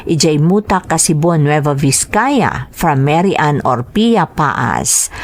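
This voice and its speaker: A woman with a Filipino accent hosting, reading out greetings and letters in a radio style. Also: fairly low pitch.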